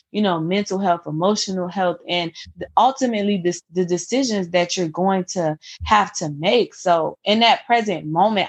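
A young adult woman, a guest author, tells her life story in a podcast interview, earnest and reflective, often restarting her sentences. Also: mid-range voice. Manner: earnest, reflective, many restarts and "you know".

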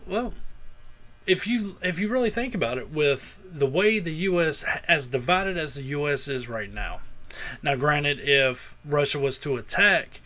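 A man's voice: on the high side, animated, unhurried, sharp tone, slight background noise. A man hosting a casual podcast, talking in a crude, opinionated way and swearing freely. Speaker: podcast host.

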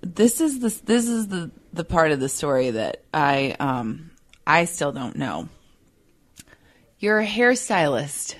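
A woman's voice: sits low, sing-song, slow pace, no room sound. A woman with a Minnesota accent hosting a conversational podcast, playful and self-deprecating. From Minnesota, United States. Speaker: podcast host.

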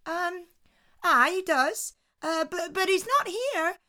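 A woman's voice: extremely high pitch, expressive, takes it slowly, pushed and bright, voice pushed up, full character voice. A woman voicing a cunning, clever wife who sweet-talks a giant with sly hesitant chatter.

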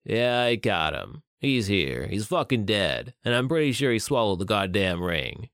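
A man voicing a gruff, businesslike older criminal for an audiobook, with a curt, commanding drawl. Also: fairly low pitch, sing-song, normal speed, fairly bright tone, dry recording, clean studio sound. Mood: weary, annoyed.

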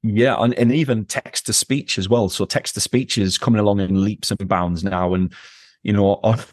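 A man's voice: fairly low pitch, fairly even intonation, normal speed, no echo, clean studio sound. A man speaking as a podcast guest, emphatic and repeating words for stress in a conversational interview. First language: English.

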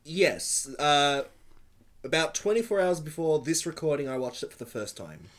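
A man on a casual movie podcast, answering with dry, deadpan humor. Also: a little high, moderate pace. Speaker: podcast co-host.

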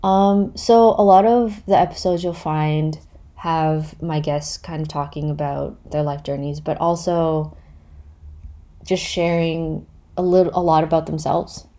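Low-pitched, creaky Canadian woman podcaster. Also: fairly even intonation, unhurried, mellow. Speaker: podcast host. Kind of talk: casual solo question-and-answer talk.

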